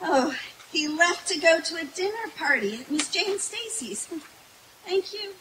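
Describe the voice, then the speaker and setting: A woman's voice: very high-pitched, animated, unhurried, bright, forceful tone, a little hiss or hum, heavily acted, rough recording. A woman playing a sardonic, exasperated roommate in an old-time radio comedy performed live. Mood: dismayed. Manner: dry, wry, theatrically dismayed. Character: sardonic, exasperated roommate, working girl and narrator. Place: outdoor woodland stage.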